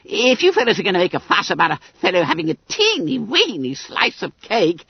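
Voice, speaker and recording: Whiny fat greedy schoolboy, super high and squeaky, stammers loads, storybook style, bit dull recording. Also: male voice, wildly swooping pitch, brisk, gravelly, breathy edge, no echo, exaggerated voice. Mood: wheedling, guilty. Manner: stammering, spluttering, wheedling.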